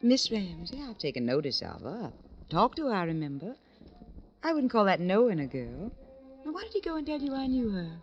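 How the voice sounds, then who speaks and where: Lonely society flirt, arch, theatrical, very animated pitch, vintage radio drama, muffled with music. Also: female voice, fairly quick. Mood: evasive, flippant. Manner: arch, dramatic, poetic phrasing. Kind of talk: 1950s radio drama acting. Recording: dull old radio recording with music.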